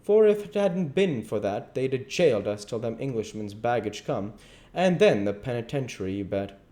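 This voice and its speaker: A man's voice: mid-range voice, big pitch swings, normal speed, mellow, pitched above the performer's own voice, close to an everyday voice. A man voicing a domineering, scornful swindler who scolds in folksy rural speech, in a dramatised audiobook. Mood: scolding.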